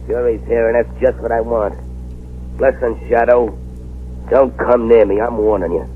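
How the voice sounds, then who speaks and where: A man's voice: mid-range voice, fairly even intonation, normal speed, narrow, tinny audio, close to an everyday voice. A man playing a ruthless, scheming gang boss who snarls and bullies, in an old radio drama on a scratchy broadcast. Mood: threatening. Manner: snarling, bullying.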